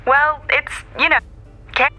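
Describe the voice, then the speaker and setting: A woman's voice: sits high, little pitch movement, measured pace, pushed and bright, lo-fi sound, soft background music, exaggerated voice. A woman playing a friendly, wry TV writer, chatting as an interview guest over a thin video-call line. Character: friendly, wry female TV writer calling in remotely. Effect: thin video-call filter. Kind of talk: conversational remote interview.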